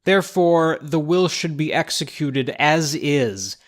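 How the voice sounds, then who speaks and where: Male actor as prim, impatient attorney, slightly high voice, measured slow delivery, audio drama. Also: expressive. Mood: formal, clipped. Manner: formal, prim, precise. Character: stuffy, impatient male lawyer opposing a will.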